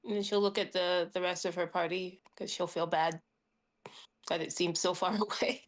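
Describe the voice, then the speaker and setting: A woman's voice: lowish voice, fairly even intonation, moderate pace. Casual, tentative woman roleplaying. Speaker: tabletop game player. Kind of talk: casual in-character roleplay and narration.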